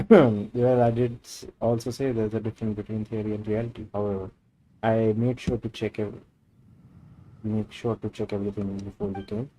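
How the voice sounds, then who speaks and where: A man's voice: lowish voice, warm tone, slight background noise, rough recording. A man with an Indian accent live-streaming a game, switching between English and Hindi as he reads story dialogue aloud and comments.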